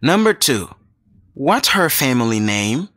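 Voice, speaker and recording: A man reading scripted English dialogues and exercise questions for a learners' audio book, slow and clearly enunciated. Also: mid-range voice, big pitch swings, fairly bright tone, no room sound, clean studio sound. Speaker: textbook audio reader.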